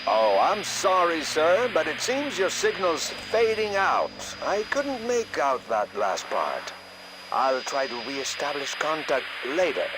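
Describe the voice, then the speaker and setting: An actor playing a sly, insolent military lieutenant in a video game, talking with smooth feigned innocence. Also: male voice, a little high, sing-song, takes it slowly. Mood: mock apologetic. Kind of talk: video game cutscene acting. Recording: clear noise and hum behind.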